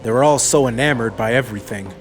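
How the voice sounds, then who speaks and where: A man's voice: mid-range voice, fast talker, faint music behind. A man reading scripted story narration as the narrator of a fantasy audiobook.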